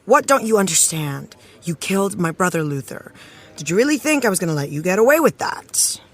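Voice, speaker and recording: A woman's voice: fairly low pitch, big pitch swings, brisk, soft background music. A woman playing a confident, direct TV actress in an audio drama. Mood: defiant, dramatic. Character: confident, friendly TV actress running lines.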